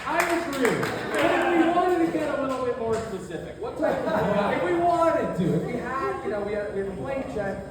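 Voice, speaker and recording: A man's voice: extremely high pitch, animated, moderate pace, hardly any pauses, a loud crowd. A man moderating a live panel Q&A, speaking effusively and feeling his way through his questions. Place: coffee bar event space, indoors. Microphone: distant from the speaker. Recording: roomy echoing event audio, distant mic, loud noise.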